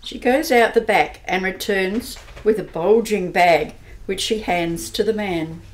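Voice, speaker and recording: A woman giving steady, plain narration for an amateur radio play. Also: medium pitch, unhurried, poor audio.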